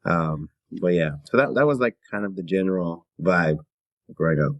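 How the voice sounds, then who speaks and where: Deep-voiced relaxed Canadian man. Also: moderate pace, lo-fi sound. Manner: relaxed, laid-back, many fillers and little laughs. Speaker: guest musician. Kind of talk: casual interview talk.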